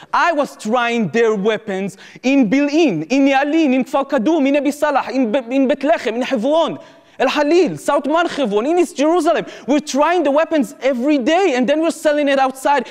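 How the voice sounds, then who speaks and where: In a reverberant hall, a man with an Israeli accent delivers a lecture in a high-pitched voice. He tells it as a personal story, leaning on key words and repeating phrases. Pauses are placed for dramatic effect. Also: darkish tone. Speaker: activist lecturer and former soldier. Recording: echoey hall with long reverb.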